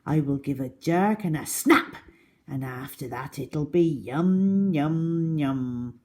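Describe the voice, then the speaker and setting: A woman's voice: sits low, expressive, takes it slowly, darkish tone, voice pushed down. A woman voicing a huge, greedy, scheming male crocodile in a children's storybook, sly and self-satisfied.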